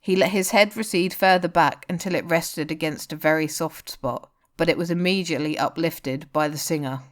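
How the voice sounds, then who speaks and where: A woman's voice: deep voice, fairly even intonation, moderate pace, few pauses. A woman reading a scripted story aloud as its narrator. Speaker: fan fiction reader.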